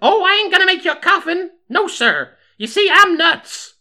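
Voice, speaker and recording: A man voicing a manic female undertaker from a Western story, in a shrill falsetto with a folksy drawl. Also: very animated, moderate pace, sharp tone, heavily acted. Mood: manic, gleeful. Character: manic, cackling female undertaker obsessed with measuring.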